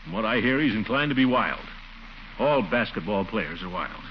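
A man's voice: lowish voice, lively intonation, normal speed, close to an everyday voice. A man playing a pompous, exasperated middle-aged uncle, blustering theatrically in a 1940s-style radio comedy. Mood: stern, blustering. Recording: noisy, dull old radio recording.

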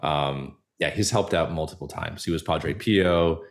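A man speaking casually as an interview guest, earnest and halting as he repeats phrases while working out his thoughts. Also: very deep voice, creaky. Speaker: podcast guest, actor and audio storyteller.

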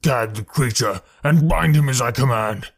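A man's voice: mid-range voice, moderate pace, vocal fry, breathy edge. A man voicing an enraged, tyrannical male alien warlord who shrieks and roars his commands. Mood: roaring.

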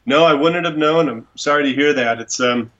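A man's voice: a little high, moderate pace, a bit bright, highs cut off. A man calling in as a guest, talking in a friendly, casual way with a few fillers. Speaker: guest, wildlife attorney.

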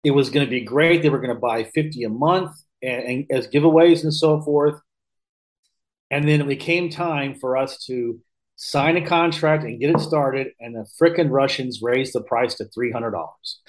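A man's voice: mid-range voice, normal speed, poor audio. American man in his fifties telling stories. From Missouri, United States. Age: 50s. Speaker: podcast guest, real estate investor. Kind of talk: casual anecdotal interview talk.

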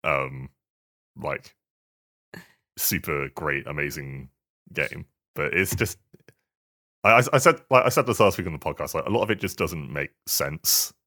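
A man's voice: extremely low pitch, unhurried, long pauses, pushed and bright, dry recording, clean studio sound. A man talking casually on a podcast, sometimes reading a statement aloud. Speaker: podcast panellist.

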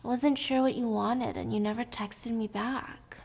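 A woman's voice: on the high side, fairly even intonation, normal speed, vocal fry, darkish tone. A woman voicing a defensive, guilt-ridden young husband in a plaintive, apologetic way while retelling a film. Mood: whiny. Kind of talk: acted dialogue in a movie retelling.

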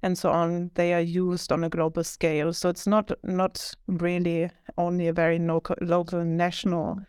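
A woman's voice: on the low side, monotone, normal speed, a little mellow, clean tone, no room sound, studio quality. A woman academic answering interview questions about her work in a reflective, explanatory, conversational way. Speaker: academic author, interview guest.

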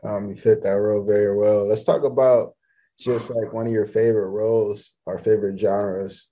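Flat, dark-voiced male interviewer. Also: lowish voice, normal speed. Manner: brief affirmations, scripted questions. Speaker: podcast host. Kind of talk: interviewing over a video call.

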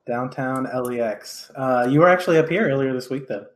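A man's voice: medium pitch, a little fast. A man hosting a podcast in an informal, chatty, conversational way. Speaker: podcast host.

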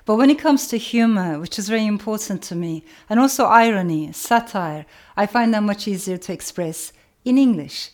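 Earnest woman novelist, measured speech. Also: medium pitch, a little mellow. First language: Turkish. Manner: measured, earnest, repeats phrases for emphasis. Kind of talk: reflective talk to camera.

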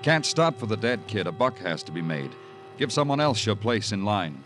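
An American man playing a world-weary police detective, narrating a vintage radio crime drama in a poetic, deliberate, hard-boiled way. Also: lowish voice, big pitch swings. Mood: brooding, lyrical. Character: brooding, world-weary male New York police detective. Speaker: narrator and lead actor. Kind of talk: 1940s-50s radio crime drama acting. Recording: old radio recording with music behind.

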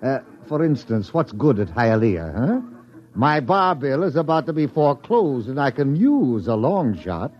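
A theatrical quack doctor who likes his drink and his big words. His medium-pitched voice swings up and down as he hems and exclaims. It is vintage radio drama with muffled sound. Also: sing-song, unhurried. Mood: skeptical, hemming. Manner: hemming, exclamatory, mock-learned. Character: theatrical, boozy Broadway quack doctor, amused and wordy. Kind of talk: 1950s radio drama acting. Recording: dull vintage radio recording.

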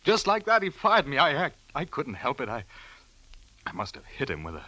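A guilt-ridden husband in a vintage radio thriller. His voice is sharp and tense, swinging widely in pitch. He speaks in agitated, halting bursts, like a desperate man cornered. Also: huge pitch swings, full character voice, poor audio. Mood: shaken, panicked. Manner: agitated, stammering, halting delivery. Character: panicked, guilt-ridden husband who has just killed his employer. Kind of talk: 1940s radio crime drama acting.

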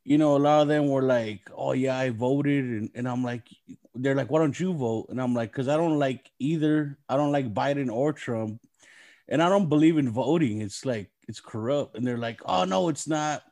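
A man's voice: medium pitch, normal speed, a little mellow. A man hosting a podcast, chatting casually in an agreeable, slangy way. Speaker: podcast host.

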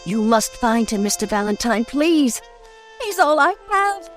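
An actress playing an anxious, genteel wife in an old-time radio melodrama, hesitant and plaintive. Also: female voice, on the high side, very animated, unhurried, soft background music. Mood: distressed, pleading.

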